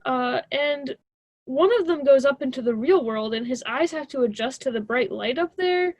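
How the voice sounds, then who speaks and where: A woman's voice: high-pitched, animated, moderate pace, no echo. A young woman playing a stubborn, sarcastic college student in a radio drama, with a dismissive conversational delivery. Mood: exasperated. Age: young adult.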